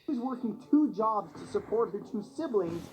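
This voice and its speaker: Guy narrating a countdown list vid, very animated up n down delivery, slangy comments, noisy playback audio. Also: high-pitched, normal speed. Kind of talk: scripted list-video narration with slangy asides. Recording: clearly noisy, played-back video audio.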